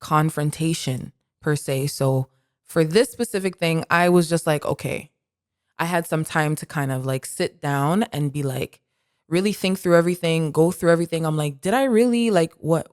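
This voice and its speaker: A woman co-hosting a podcast who talks in an animated, reflective way, full of "like" fillers. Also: sits low, normal speed, dry recording, studio quality. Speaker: podcast co-host. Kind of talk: casual conversation.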